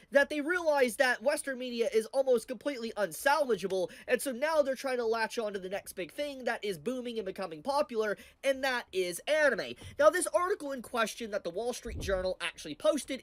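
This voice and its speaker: Higher-pitched woman narrating commentary, dry sound. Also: no echo. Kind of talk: scripted opinion commentary.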